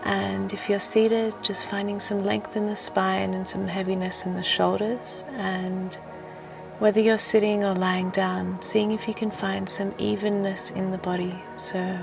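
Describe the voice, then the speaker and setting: Soft, low-pitched woman guiding meditation with creaky voice. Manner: gentle, soothing, unhurried with long pauses. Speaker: meditation teacher and host. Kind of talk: guided relaxation meditation. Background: music.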